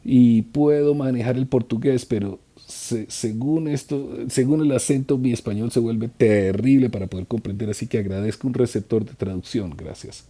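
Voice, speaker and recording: A man interpreting a conference talk live, in a halting way with restarts and trailing phrases. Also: medium pitch, warm tone.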